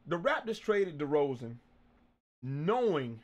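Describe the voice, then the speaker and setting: A man hosting a casual sports chat show, animated and quick to shout out viewers. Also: fairly high pitch, dull audio. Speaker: podcast host.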